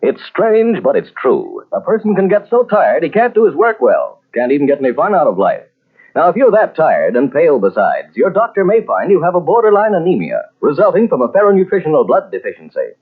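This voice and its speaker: A man's voice: a little high, wildly swooping pitch, fairly quick, slightly rough. A man announcing a scripted 1940s radio advertisement with an emphatic sales delivery. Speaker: commercial announcer. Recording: tinny, worn old radio recording.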